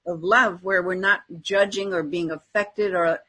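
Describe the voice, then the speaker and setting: Husky lady podcast cohost, casual chat, kinda tentative and halting as she thinks stuff through. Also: fairly low pitch, steady tone, sharp tone. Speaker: podcast co-host.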